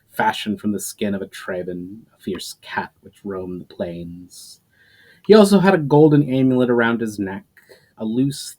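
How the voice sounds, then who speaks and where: A man with a fairly low voice reads in a dramatic storytelling style. His phrasing is measured and emphatic, rising at the start of sentences and falling away at their ends. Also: darkish tone. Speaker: writer reading own story to channel viewers. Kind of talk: dramatic scripted narration of a fantasy story.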